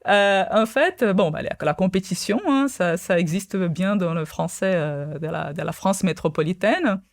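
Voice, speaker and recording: A woman's voice: lowish voice, moderate pace, no room sound, studio quality. Hesitant woman. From Brazil. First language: Portuguese. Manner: hesitant, many fillers and restarts. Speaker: guest, quantum physicist. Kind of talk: podcast interview.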